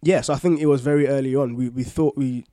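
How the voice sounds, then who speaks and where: A man with a London accent and a medium-pitched voice chats as a podcast co-host. His delivery is informal and full of slang, like someone telling personal stories to friends. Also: steady tone, fast talker, dark tone. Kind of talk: casual chat, personal anecdotes.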